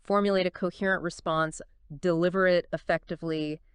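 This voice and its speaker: A woman's voice: fairly low pitch, fairly even intonation, a little fast, clean tone, dry recording. A woman co-hosting a conversational explainer podcast, engaged and emphatic, with punchy phrasing. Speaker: co-host of an explainer discussion.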